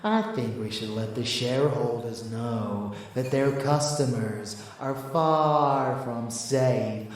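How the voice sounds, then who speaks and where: A man's voice: mid-range voice, slow, hardly any pauses, very echoey, big-room sound, distant mic, lightly acted. A man playing a seductive, predatory vampire lover in an ASMR audio drama, murmuring intimately and sometimes sinking to a whisper. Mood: seductive, scheming.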